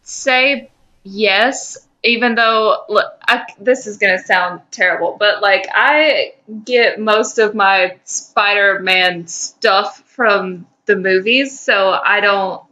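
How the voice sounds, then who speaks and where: Bright, unhurried woman chatting on a podcast. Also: mid-range voice, rough recording. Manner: informal, slangy, opinionated. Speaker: podcast co-host. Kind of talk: casual podcast banter about films and comics.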